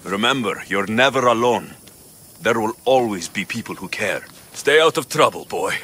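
An actor playing a mercenary hero in a video game, speaking in a low, steady, consoling way. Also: male voice, normal speed, slight background noise. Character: gruff male Greek mercenary hero, adult. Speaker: game protagonist. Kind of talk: video game cutscene acting.

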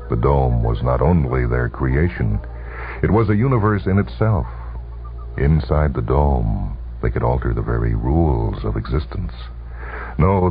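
An extremely deep-voiced man reads a dramatic short story on the radio. His delivery is measured and suspenseful, with a gravelly creak. The sound is narrow, like a phone line, on an old broadcast. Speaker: narrator. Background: music.

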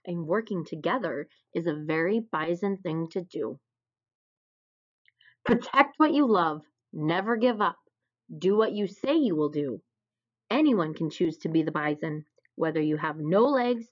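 A woman's voice: on the low side, sing-song, measured pace. A woman voicing a great, wise, encouraging bison leader in a children's storybook. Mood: encouraging, solemn. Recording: dull, lo-fi recording.

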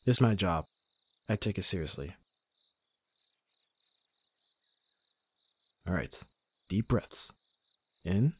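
A man playing a gruff, protective young bodyguard in an ASMR roleplay, with a husky voice and a sardonic, intimate delivery. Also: deep voice, a little mellow, exaggerated voice. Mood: calm, reassuring. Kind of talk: ASMR roleplay audio drama.

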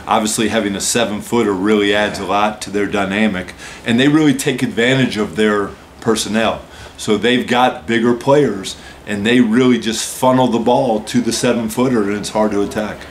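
A man in his forties, a head basketball coach, calmly answering postgame questions at a press-room table, explaining with many "uh" and "so" openers. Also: lowish voice, normal speed, slight background noise. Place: press room with a team backdrop, seated at a table.

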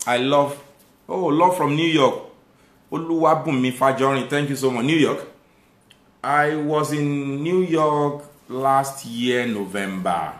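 A man in his thirties with a Nigerian accent hosts a casual live stream, reading viewer comments aloud and thanking viewers warmly. His voice sits at an average pitch and rises with conviction when he makes a point. The room around him echoes noticeably. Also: slow pace.

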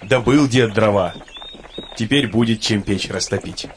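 A man's voice: lowish voice, measured pace, slight background noise. A man narrating a children's cartoon fairy tale in a scripted storytelling style.

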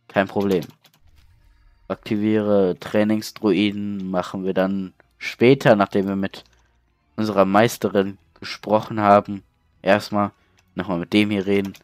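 Lowish stuffy-voiced gamer man. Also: dry recording. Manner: casual, chatty, reads out game text. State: stuffy cold. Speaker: let's play gamer. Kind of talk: casual gameplay commentary. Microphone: headset.

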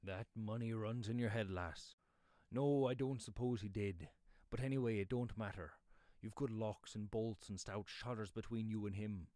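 A man voicing a gruff but kindly yeoman father in a storybook reading, with blunt, brisk, rustic speech. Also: on the low side, mellow, dry recording, clean studio sound, close to an everyday voice. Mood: reassuring, brisk. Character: gruff, kindly, quick-speaking yeoman father, brusque and dismissive. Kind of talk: audiobook character voice.

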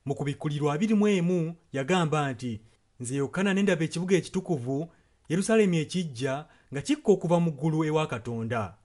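Higher-voiced Ugandan man interpreting a sermon. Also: lively intonation, quick pace, a little mellow. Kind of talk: sentence-by-sentence sermon translation.

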